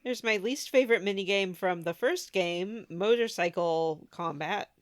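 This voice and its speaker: A woman's voice: mid-range voice, unhurried, no room sound, clean studio sound. A woman co-hosting a casual gaming podcast, chatty and wry in the way she talks. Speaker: podcast co-host.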